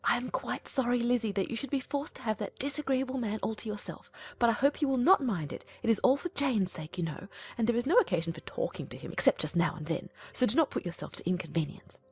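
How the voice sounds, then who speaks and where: A woman playing a fussy, excitable middle-aged mother in a dramatized audiobook, exclaiming and easily vexed. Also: fairly high pitch, quick pace, hardly any pauses, breathy edge, soft background music, exaggerated voice. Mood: coaxing. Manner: exclamatory, gushing complaint.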